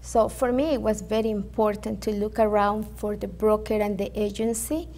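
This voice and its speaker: A woman from Venezuela with a Venezuelan Spanish accent, answering interview questions carefully and haltingly. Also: medium pitch, little pitch movement, a little mellow. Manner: careful, halting, with fillers and restarts. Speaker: real estate agent guest.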